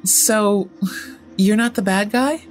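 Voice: medium pitch, slow, soft background music, pitched above the performer's own voice, close to an everyday voice, poor audio. A storyteller voicing a blunt, sarcastic teenage girl who questions things with open disbelief in an audio horror story. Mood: questioning.

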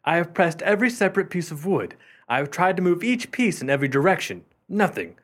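A male actor plays an earnest gentleman of period radio drama with a taste for the eerie. His voice is slightly high and moves expressively up and down. His phrasing is formal and theatrical, that of an imaginative man. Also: very animated, quick pace. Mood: puzzled. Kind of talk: radio mystery acting, period dialogue.

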